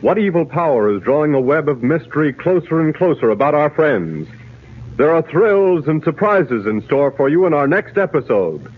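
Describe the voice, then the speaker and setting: A male American announcer delivers emphatic 1940s serial narration. His slightly high, husky voice is theatrical and animated. The sound is narrow and tinny, from an old radio broadcast. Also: normal speed.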